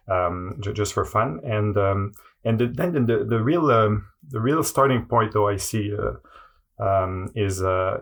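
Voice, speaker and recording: A man's voice: fairly low pitch, creaky, a bit bright. A man from Montreal, a native French speaker with a Quebec French accent, answering interview questions in a hesitant way with many fillers and restarts. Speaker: guest.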